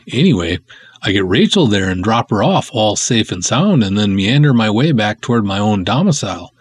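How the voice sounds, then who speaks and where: A man voicing an excitable, chatty cop who tells his tale in folksy slang for a serial story. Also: fairly low pitch, slightly rough. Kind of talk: dramatic serial reading.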